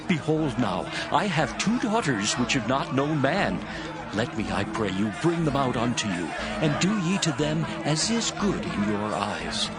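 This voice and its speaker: A man's voice: mid-range voice, lightly acted. A man playing Lot, a humble and anxious man pleading, in a dramatized Bible reading. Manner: pleading, lively intonation. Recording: voice over clear music.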